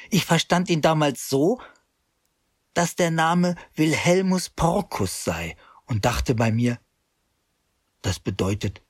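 A grown man looking back on the guilt of his youth, telling it in a measured, pious way. His voice is husky and sits at a middle pitch. It sounds close and dry, with no room around it. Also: no echo. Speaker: first-person narrator. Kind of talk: scripted radio drama narration.

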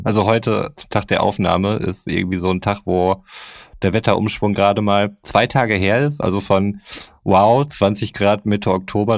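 Low-voiced man with dry banter. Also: vocal fry, dry recording. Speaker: podcast co-host. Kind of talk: casual chat.

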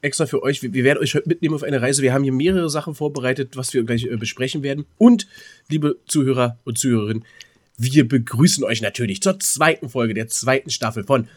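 A man's voice: medium pitch, fast. A man co-hosting a podcast in casual, joking banter with informal, colloquial speech. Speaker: podcast co-host.

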